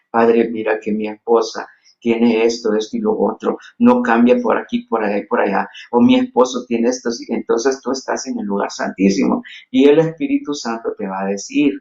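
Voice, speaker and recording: A man's voice: mid-range voice, darkish tone, lo-fi sound. A man from Honduras with a Honduran Spanish accent, preaching a sermon earnestly with frequent restarts and fillers. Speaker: pastor.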